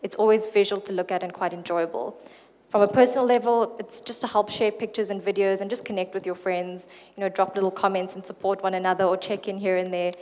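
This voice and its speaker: A woman giving a keynote talk at a conference in a relaxed, conversational way. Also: mid-range voice, fast talker. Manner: relaxed, with fillers and restarts. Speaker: keynote speaker. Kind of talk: conference presentation. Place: conference hall. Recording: reverberant hall.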